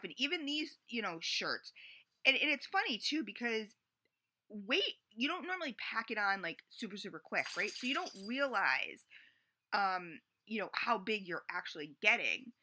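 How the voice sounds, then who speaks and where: Chatty woman in her forties, bright voice. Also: mid-range voice. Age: 40s. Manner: rambling, chatty, full of "you know" and "like". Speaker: vlogger. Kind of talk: casual confessional vlog.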